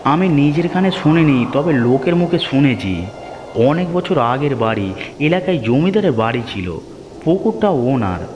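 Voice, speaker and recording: A man's voice: on the high side, animated, normal speed, dull audio, noisy background, close to an everyday voice. A man voicing a poor, hardworking cartoon village husband, plain and earnest, who gives in to his wife. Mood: startled, wary. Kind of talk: cartoon story dialogue. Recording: music behind the voice.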